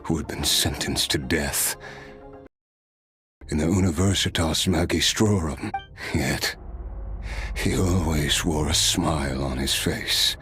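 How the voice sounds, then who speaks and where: A cursed immortal swordsman from a video game, with an extremely deep voice over faint music. He speaks slowly and reflectively, a weary nobleman resigned to endless life, with a wry edge. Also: fairly bright tone, full character voice. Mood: somber, reminiscing. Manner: slow, brooding, reflective storytelling. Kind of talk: dramatic video game voice acting.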